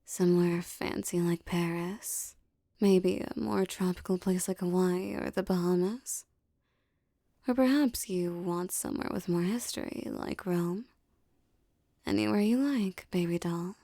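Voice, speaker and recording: A woman as a mellow, tough, doting mafia girlfriend. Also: fairly low pitch, unhurried, dry recording, clean studio sound. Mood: doting, promising. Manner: soft, intimate, slangy tough talk with tender teasing. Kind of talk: ASMR romance roleplay, intimate scripted acting.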